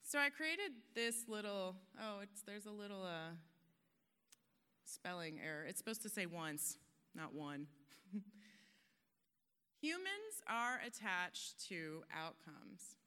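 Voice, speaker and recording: Bright, smooth woman in a reverberant hall. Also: medium pitch, very animated, slow, long pauses. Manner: earnest, emphatic, laughs at herself. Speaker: conference speaker. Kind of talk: motivational stage talk. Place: conference hall stage.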